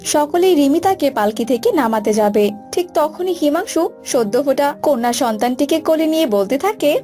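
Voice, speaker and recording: A woman's voice: high voice, lively intonation, normal speed, warm tone. A woman reading scripted narration for a cartoon story. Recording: music bed behind voice.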